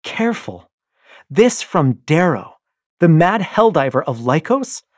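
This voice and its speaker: A fierce young rebel wife, put on by a male reader, speaks in a raised, swooping voice with a breathy edge. She pleads and pushes with urgent passion, and the delivery stays slow and deliberate. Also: a little high, big pitch swings, no echo, clean studio sound, voice pushed up, heavily acted. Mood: scornful.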